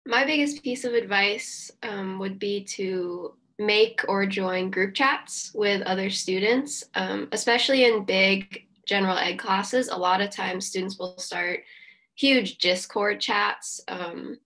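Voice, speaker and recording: Young female student from Colorado, calm, unhurried panelist, medium pitch. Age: young adult. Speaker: orientation leader. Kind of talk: casual panel advice.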